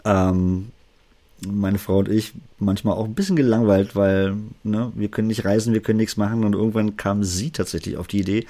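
A man's voice: lowish voice, brisk. Laid-back man with a Berlin accent. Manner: relaxed, rambling with many fillers. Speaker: interviewed music producer and label owner. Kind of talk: casual interview chat.

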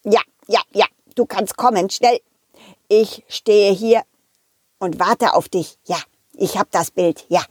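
A woman's voice: medium pitch, takes it slowly, slightly rough, no room sound, clean studio sound. A woman playing a furtive monkey thief in a children's audio story, talking urgently in short clipped phrases. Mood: furtive urgency.

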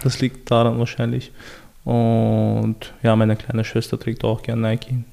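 Guest: Chechen-native man, raspy fry, level delivery, relaxed and hesitant. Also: medium pitch, measured pace. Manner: laid-back, hesitant with fillers. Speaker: podcast guest. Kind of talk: casual interview chat.

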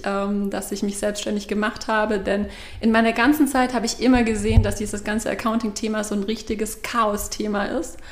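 Businesslike woman in an echoey room. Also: medium pitch. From Swabia, Germany. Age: 30s. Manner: explains at length in a measured, businesslike way. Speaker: startup co-founder guest. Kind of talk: interview answers.

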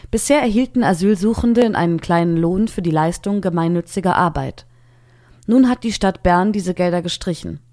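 A woman's voice: on the low side, darkish tone. A woman reading out a scripted news item as presenter. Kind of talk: scripted news narration, read aloud.

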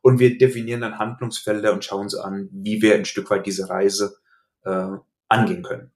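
A man's voice: lowish voice, level tone, moderate pace. A middle-aged man with a Hessian accent, a guest on a podcast, earnestly explaining with examples in casual conversation. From Hesse, Germany. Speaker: podcast guest, IT security coach.

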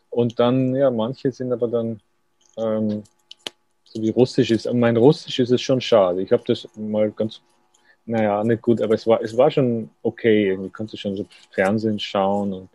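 Gravelly, dark-voiced man musing. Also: fairly low pitch. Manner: musing, thoughtful, self-deprecating. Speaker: writer as interview guest. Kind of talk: radio interview.

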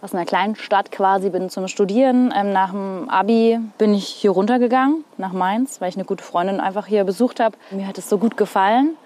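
A woman's voice: medium pitch, a little fast, few pauses, warm tone. Young woman from northern hesse, weather presenter, chatty but hesitant, lots of fillers, talking outside. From northern Hesse, Germany. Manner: thoughtful, hesitant, many fillers. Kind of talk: casual interview chat. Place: outdoors.

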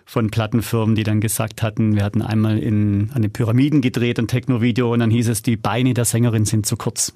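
A man's voice: lowish voice, level tone, quick pace, few pauses, dark tone, no room sound, clean studio sound. A middle-aged man talking casually as a podcast guest, telling anecdotes in a candid, self-critical and colloquial way. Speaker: podcast guest, audio drama producer. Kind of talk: casual interview, anecdotal storytelling.

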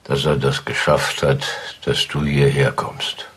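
An actor playing a grumpy village father-in-law in a film drama, gruff and blunt, with a gravelly, husky voice. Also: rumbling low, completely flat delivery, measured pace, fairly bright tone, full character voice. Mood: gruff, scornful.

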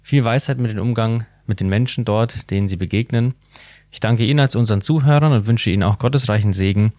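A man's voice: fairly low pitch, fast talker, a little mellow, no room sound. A man hosting a podcast interview, putting prepared questions politely and formally.

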